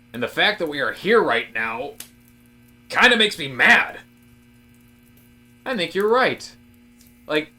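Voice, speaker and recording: A man playing a disaffected, rebellious office worker in a comic audio drama, with a halting, slangy, rambling delivery. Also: medium pitch, sharp tone.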